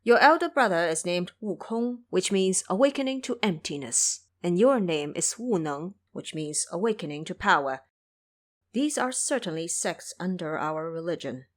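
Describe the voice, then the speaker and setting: A woman's voice: fairly low pitch, animated, close to an everyday voice. Woman as a composed, pious monk master. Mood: approving. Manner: formal, measured, courteous. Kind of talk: audio drama character voice.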